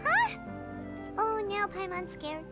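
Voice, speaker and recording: A woman voicing a small, excitable, timid fairy girl companion in an animated video game, with a squeaky childlike voice. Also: takes it slowly, exaggerated voice. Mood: anxious. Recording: game music behind the voice.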